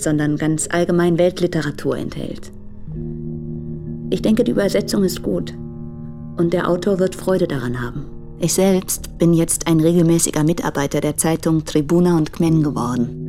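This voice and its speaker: Guitar music plays clearly behind an actress as a woman translator in a radio drama. Her voice is low, and she reads her letter in a composed, businesslike way. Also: close to an everyday voice. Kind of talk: scripted letter reading in a radio play.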